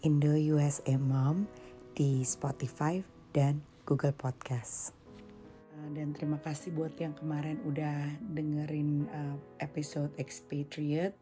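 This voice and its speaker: A woman's voice: low-pitched, level tone, slow pace, dark tone, faint music behind. A woman hosting a casual solo podcast, chatting conversationally and mixing in English phrases. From Indonesia. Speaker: podcast and vlog host.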